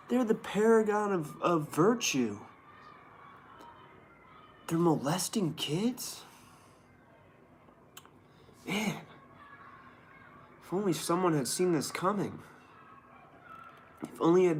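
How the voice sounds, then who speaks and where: A man's voice: a little high, slight background noise. A man reading the news aloud and rambling casually about it as a show host, with sarcastic, feigned astonishment.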